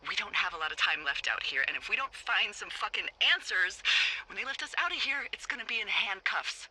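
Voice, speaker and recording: An American actress playing a sardonic, anxious female fire lookout in a video game, with a blunt, expressive delivery. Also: mid-range voice, brisk, poor audio. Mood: angry, anxious. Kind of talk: naturalistic video game voice acting.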